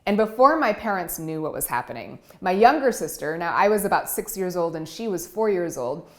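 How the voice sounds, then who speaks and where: A woman's voice: on the low side, fairly quick. Woman telling her life story on stage. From Cameroon and Iowa. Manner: warm storytelling, vivid and expressive. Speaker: keynote speaker and writer. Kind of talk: personal storytelling speech.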